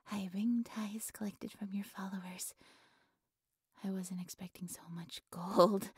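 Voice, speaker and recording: A woman voicing a devoted, obsessive high priestess in an audio roleplay, soft and breathy, speaking with formal, fawning reverence to her dark god. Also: no echo, clean studio sound. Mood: devoted, pleased. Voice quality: soft, breathy, often whispery.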